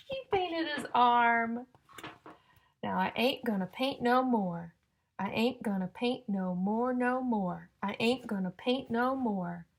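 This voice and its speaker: A woman reading a picture book aloud to young children in a sing-song, exaggerated way, pausing to ask and explain like a teacher. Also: a little high, lively intonation. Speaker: children's story-time reader and teacher.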